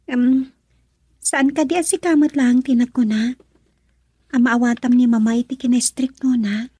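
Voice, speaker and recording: A woman's voice: on the high side, fairly even intonation, moderate pace, warm tone, husky, dry recording, studio quality. A young woman playing a tender, anxious girl in love in an Ilocano radio drama. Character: tender, anxious young sweetheart afraid of her mother.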